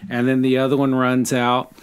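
A man co-hosting a podcast, discussing a book casually and somewhat haltingly as he searches for words. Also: mid-range voice, monotone, smooth. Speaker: podcast co-host.

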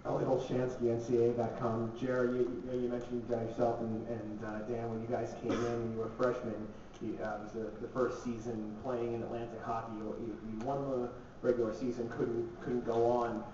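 A male reporter asking a long, halting question at a press conference. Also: medium pitch, little pitch movement, dark tone, slight background noise. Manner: halting, many restarts. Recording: echoey, distant, dull.

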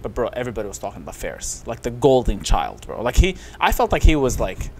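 A man and restaurant co-owner, originally from Saudi Arabia, telling stories on a podcast in a loose, rambling way and saying "bro" often, recorded on a boom mic with headphones in a lounge-style studio. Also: wildly swooping pitch, fast talker, slight background noise. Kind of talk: casual podcast chat. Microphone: headset and boom mic.

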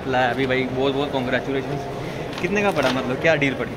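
A man's voice: medium pitch, fast. A young man chats casually to viewers as a vlogger, holding a phone at arm's length. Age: young adult. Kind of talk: casual vlog chat. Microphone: handheld phone at arm's length. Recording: noisy phone audio with wind and ambience.

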